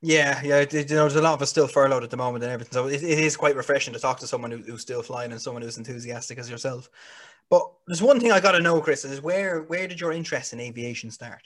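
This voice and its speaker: A man's voice: mid-range voice, quick pace, a bit bright. Man hosting a friendly interview. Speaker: interview host. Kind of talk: casual interview chat.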